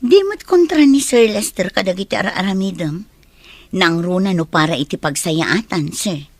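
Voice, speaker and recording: A woman's voice: on the low side, expressive, normal speed, dry recording. Woman acting as Ma'am Lina, dry-sounding chatty teacher. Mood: concerned. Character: Ma'am Lina, friendly, loyal female teacher, chatty colleague. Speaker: actor in an audio drama. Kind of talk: Ilocano audio drama acting.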